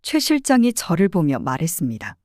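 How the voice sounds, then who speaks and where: A woman narrates a dramatized true story as a private detective. Her voice is mellow and warm, with lively pitch movement. The recording is dry and close. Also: brisk, dry recording. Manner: expressive, storytelling.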